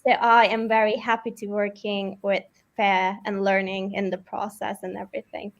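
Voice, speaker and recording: A woman's voice: medium pitch, flat delivery, normal speed, lo-fi sound. A young woman from Turkey on the HR team, chatting lightly and giggling often. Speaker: HR team member. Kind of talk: casual chat.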